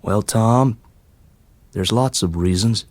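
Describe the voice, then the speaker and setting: Slow rough country fella, put on by a male narrator, bitter and opinionated but kindly, storybook reading. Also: on the low side, darkish tone, no room sound. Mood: bitter, philosophical. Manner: colloquial, rustic, opinionated.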